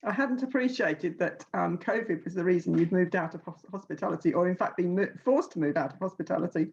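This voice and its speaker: A woman's voice: on the low side, fast, vocal fry, a little mellow, lo-fi sound. A woman hosting an online workshop over a video call, friendly, with fillers and restarts. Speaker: workshop host.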